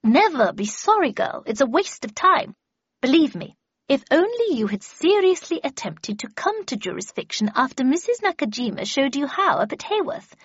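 A woman voicing a stern, sharp-tongued, commanding older woman in an audiobook, brusque and brooking no argument. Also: sing-song, normal speed, no room sound, muffled recording. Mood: brusque, scolding. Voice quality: low growl at times. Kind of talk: audiobook character voice.

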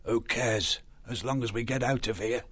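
A man's voice: mid-range voice, normal speed, fairly bright tone, slightly rough. A man voicing a big male badger who talks in a blunt, impatient way. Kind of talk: audiobook character voice.

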